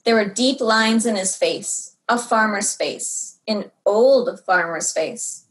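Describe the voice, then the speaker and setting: A woman reading a storybook aloud to young children in an expressive way, drawing out words for dramatic emphasis. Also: mid-range voice, slow, rough recording.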